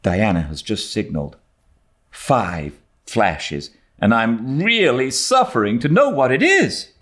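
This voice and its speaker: A man voicing an excitable, talkative young girl who pleads effusively in a storybook reading. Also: medium pitch, slow, voice pushed up. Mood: eager explaining. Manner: effusive, dramatic, breathless pleading.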